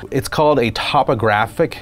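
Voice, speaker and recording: A man explaining technical gear in a conversational product demo, with halting, hesitant phrasing. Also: mid-range voice. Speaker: product demonstrator and synth expert. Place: small studio room, indoors.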